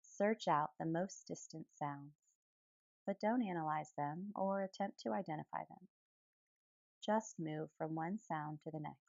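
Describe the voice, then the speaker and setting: Low-voiced woman guiding deep relaxation. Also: creaky, no echo, dull audio. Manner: calm, gentle, unhurried phrases with pauses. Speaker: yoga nidra guide. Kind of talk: guided relaxation meditation, soothing instruction.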